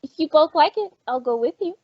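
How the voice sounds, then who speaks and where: An earnest young woman acted by a woman keeping the peace in a vintage-style radio drama. Her voice is high and coaxing. The sound is dry and a little dull. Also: lively intonation, a little fast, dry recording. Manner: coaxing, conciliatory. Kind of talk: old-time radio suspense acting. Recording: slightly dull, muffled audio.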